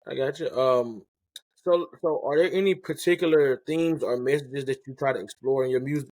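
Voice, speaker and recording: Relaxed male host asking questions. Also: mid-range voice, moderate pace, no echo. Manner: relaxed, restarts questions, slangy. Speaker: interview host and rapper. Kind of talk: casual interview chat.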